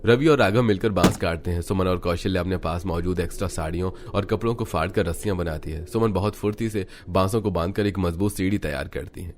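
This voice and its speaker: A man's voice: low-pitched, fast, hardly any pauses. A man narrating a cartoon family story in a scripted storytelling style.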